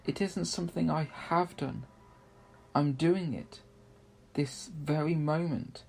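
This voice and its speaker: A man voicing a gentle storybook fawn who is guilt-ridden and tearful, wailing and blaming himself. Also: fairly high pitch, slow pace, gravelly, slight background noise, voice pushed up. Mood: guilty, distressed. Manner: tearful, wailing, self-reproaching.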